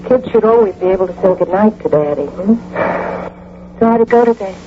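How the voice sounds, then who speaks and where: An actress playing a pragmatic, blunt wife and mother, speaking matter-of-factly and needling gently in a radio drama. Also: medium pitch, expressive, a little fast, natural voice. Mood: concerned, dry. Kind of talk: 1980 radio drama acting. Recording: muffled old radio broadcast, faint hum.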